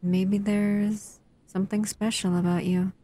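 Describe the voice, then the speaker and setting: A woman's voice: medium pitch, flat delivery, a little slow, mellow, natural voice. A woman voicing a dangerous, bored humanoid monster in an audio roleplay, speaking to the listener in a languid, teasing, menacing way. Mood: aloof, curious.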